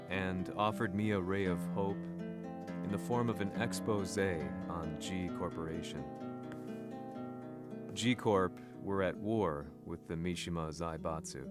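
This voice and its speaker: Somber male reporter, low pitch, measured first-person narration, video game, loud music underneath. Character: somber, world-weary male reporter narrating his memories.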